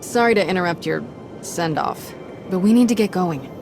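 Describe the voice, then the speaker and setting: An actress playing a brisk, practical young warrior in a video game, direct and informal. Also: female voice, fairly low pitch, music in the background, natural voice. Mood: wary. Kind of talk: video game cutscene acting.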